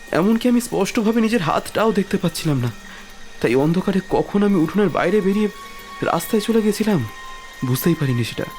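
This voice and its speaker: Frightened young villager, shaken and stammering, fairly high voice, music underneath, voiced by a man. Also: darkish tone, close to an everyday voice. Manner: breathless, stammering, fearful. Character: frightened young man Deep, shaken after being chased in the dark. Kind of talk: Bengali audio drama acting.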